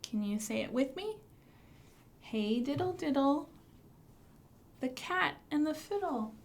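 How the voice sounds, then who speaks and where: A woman's voice: high voice, lively intonation, takes it slowly. A woman hosting a storytime for young children, reciting rhymes and presenting books with simple questions and prompts.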